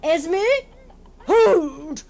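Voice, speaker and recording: An actress playing an imperious, unflappable aristocratic baroness in a radio drama, haughty and drily exasperated. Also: female voice, high-pitched, animated, creaky, dark tone, heavily acted. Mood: drily unruffled. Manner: haughty, brisk commands, dry understatement. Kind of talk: radio drama acting and wry first-person narration.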